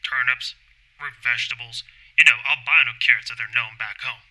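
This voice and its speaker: Aggrieved amateur gardener on talk radio , acted by a man: emphatic, obsessive, tense bright tone. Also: mid-range voice, fairly even intonation, fairly quick, rough recording. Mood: obsessive, indignant.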